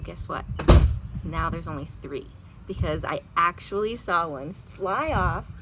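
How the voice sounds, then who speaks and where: A woman hosting a storytime for young children, chatting expressively and putting simple questions to her listeners. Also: fairly low pitch. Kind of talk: read-aloud and chat to young children. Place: outdoors at home. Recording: outdoor background noise.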